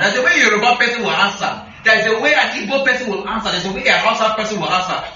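Nigerian male preacher, high and bright, heavy hall echo, distant mic. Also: a little hiss or hum. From northern Nigeria. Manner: asks the congregation questions often. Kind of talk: sermon with call and response. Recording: echoey, distant, slightly muffled hall recording.

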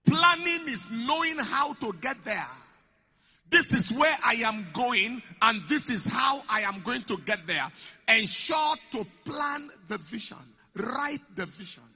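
A man preaching a sermon, stressing key phrases and repeating them slowly. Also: very high-pitched, animated, sharp tone, breathy edge. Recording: echoey hall.

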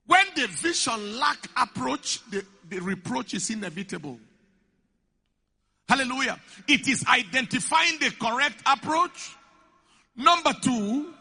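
A man preaching a sermon, stressing key phrases and repeating them slowly. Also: very high-pitched, sharp tone, breathy edge. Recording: echoey hall.

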